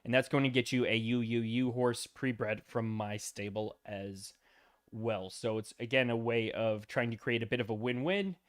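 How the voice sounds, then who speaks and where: A man's voice: medium pitch, fairly even intonation, normal speed. A man hosting a casual livestream, tired and losing his voice, talking loosely with many fillers and greeting viewers by name. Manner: loose and rambling, many ums and uhs, greets chat by name. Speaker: livestream host.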